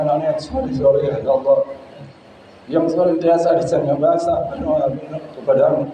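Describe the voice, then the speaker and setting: A man's voice: on the high side, slight background noise. A male preacher leading a fervent, pleading prayer at the close of a sermon. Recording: echoey hall, dull lo-fi sound.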